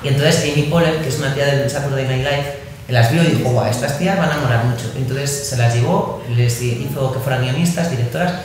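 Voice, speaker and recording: A woman with a Spanish accent chatting animatedly on a panel, recommending series with quick asides and laughs. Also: extremely low pitch, a little mellow, a little hiss or hum. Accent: Spanish from Spain. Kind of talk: casual panel chat. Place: large echoey library room. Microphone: table mic on stand. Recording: echoey room, audience murmur.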